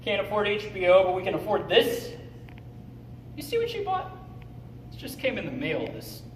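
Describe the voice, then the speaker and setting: A teenage man playing an unhinged, needy grown man resentful of his domineering mother, in a halting, theatrical stage monologue. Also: high-pitched. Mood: gleefully defiant. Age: teens, high school senior. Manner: theatrical, halting, swings from hushed to shouting. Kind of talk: dramatic monologue, stage speech-contest performance. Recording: distant, echoey, noisy hall recording.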